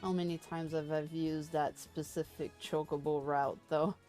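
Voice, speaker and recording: A woman's voice: sits low, little pitch movement, normal speed, dark tone. A woman live streamer chatting casually and playfully while she plays a game. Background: game music.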